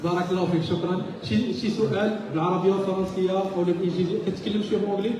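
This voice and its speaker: Man, Moroccan accent, rapid high-voiced moderator; distant, echoey hall with crowd noise. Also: steady tone. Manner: brisk and courteous, handing out the mic. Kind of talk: moderating a panel. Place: conference hall with an audience. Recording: echoey hall from afar, audience murmur.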